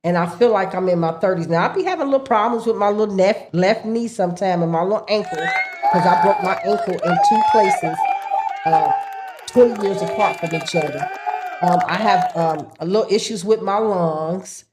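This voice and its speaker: A woman's voice: mid-range voice, moderate pace, heavy background noise. A woman, chatting as a podcast guest and telling stories with lots of laughter. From United States. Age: 60s. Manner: animated storyteller, laughs often, acts out her family's voices. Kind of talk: casual chat.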